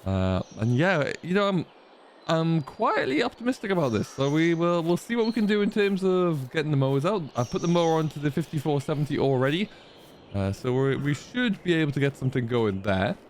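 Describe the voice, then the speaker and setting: A man's voice: on the high side, very animated, a little fast, slight background noise. A man giving casual, rambling commentary as host of a farming roleplay game, thinking aloud as he goes.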